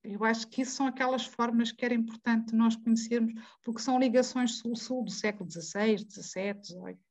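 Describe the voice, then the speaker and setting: A woman's voice: on the high side, steady tone, brisk, a little mellow. A woman with a Mozambican Portuguese accent, speaking as a guest in an academic debate, digressing and correcting herself as she goes. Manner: digressive, self-correcting, asks rhetorical questions. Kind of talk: conversational academic talk.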